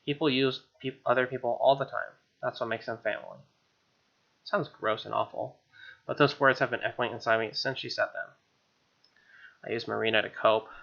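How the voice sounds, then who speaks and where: A man's voice: mid-range voice, fairly even intonation, moderate pace, gravelly, a bit bright, muffled recording. A man hosting a let's play, reading game text expressively with wry, casual asides.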